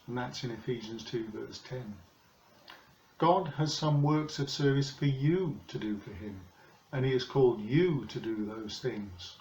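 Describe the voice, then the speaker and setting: An older British man preaches in a calm, measured, earnest way, seated at home in front of a camera. His voice has a middle pitch, the room sounds hollow and echoey, and the recording is a little dull. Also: slight background noise. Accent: British English. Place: living room, indoors. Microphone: camera in front of him, seated.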